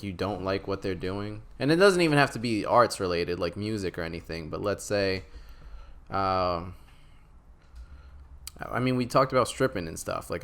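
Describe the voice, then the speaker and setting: A man co-hosting a casual podcast chat, laid-back, asking probing questions. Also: lowish voice. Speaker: podcast co-host.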